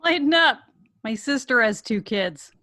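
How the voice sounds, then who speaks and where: A woman's voice: a little high, animated, a little slow, sharp tone. A woman reading the part of a bold, determined, flirtatious woman in love at a script table read. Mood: playful. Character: bold, determined woman romancing a wealthy bachelor.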